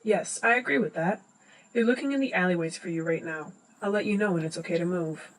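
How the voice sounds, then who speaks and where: A woman's voice: lowish voice, fairly quick, close to an everyday voice, low-quality recording. A woman playing a bossy male supercomputer in a boy's head, giving dry instructions in an amateur comic dub. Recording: amateur old-device recording, music behind.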